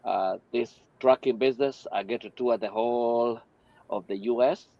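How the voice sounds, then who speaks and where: Man coach, average pitch, keen but halting, on dull, muffled call audio. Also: no room sound. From Kenya. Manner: enthusiastic, hesitant with many uhs. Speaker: business coach. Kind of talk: casual introduction on a live stream.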